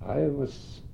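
An older man from Norway with a Norwegian accent, a Norwegian native speaker, reminiscing slowly and haltingly in a recorded interview, often pausing and clearing his throat. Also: warm tone. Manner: slow, halting, with frequent pauses and throat clearing. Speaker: oral history interviewee. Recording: dull lo-fi tape with faint rumble.

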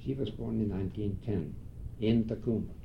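Old norwegian guy, creaky low voice, talks real slow, dull old tape with a faint rumble. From Norway. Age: older. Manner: slow, halting, with frequent pauses and throat clearing. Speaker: oral history interviewee. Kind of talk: reminiscing in a recorded interview.

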